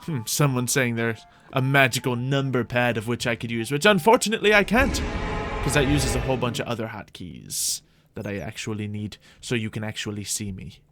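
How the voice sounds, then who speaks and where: A man commentating on his own video game play, thinking aloud in an animated way with playful exclamations. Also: medium pitch, brisk. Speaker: gaming YouTuber playing a Minecraft roleplay series. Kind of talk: gameplay commentary. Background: background music.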